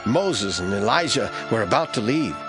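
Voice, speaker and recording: A man reading scripted Bible narration as an expressive storyteller for an audio drama. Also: lowish voice, moderate pace, over music.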